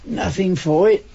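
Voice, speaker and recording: Slow, lilting old London man. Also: high-pitched, vocal fry, noisy background, heavily acted. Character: doting, playful old husband in his eighties. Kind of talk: radio drama acting. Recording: muffled radio recording with music behind.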